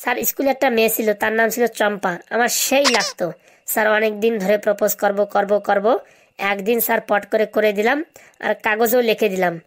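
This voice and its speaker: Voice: mid-range voice, fairly even intonation, fast talker, close to an everyday voice. A performer voicing a brazen, glib schoolboy in a comedy cartoon. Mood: pleading, boastful. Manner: glib, answers back with absurd confidence. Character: cheeky, brazen schoolboy with buck teeth.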